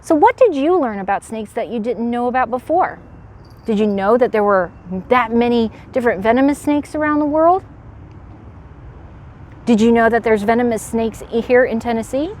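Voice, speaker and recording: Higher-voiced woman teaching kids, animated. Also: moderate pace, slight background noise. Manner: animated, addresses young viewers with questions. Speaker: park educator presenting to children. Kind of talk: animated educational read-aloud and animal demonstration.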